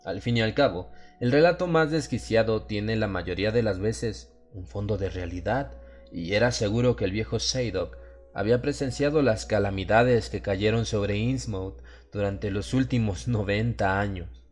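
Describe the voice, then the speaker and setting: A man with a fairly low voice reads a horror tale as an audiobook narrator. His delivery is measured, literary and dramatic. Faint music plays underneath him.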